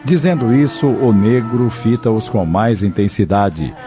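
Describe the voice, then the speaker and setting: A man's voice: lowish voice, unhurried. A man narrating a scripted audio novel as its storyteller. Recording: dull lo-fi audio with music behind.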